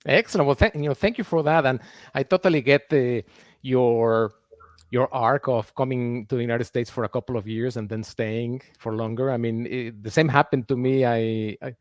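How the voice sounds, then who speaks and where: A man's voice: medium pitch, normal speed, dry recording. Male host, close dry sound. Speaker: podcast host. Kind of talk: conversational interview.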